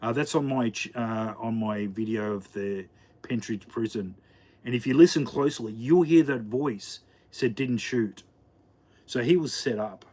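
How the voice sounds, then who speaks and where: A man's voice: medium pitch, measured pace, dark tone. An Australian man hosting a casual livestream from his home kitchen, chatting to viewers while he cooks, rambling with lots of fillers and restarts. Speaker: livestream host. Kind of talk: casual live chat while cooking.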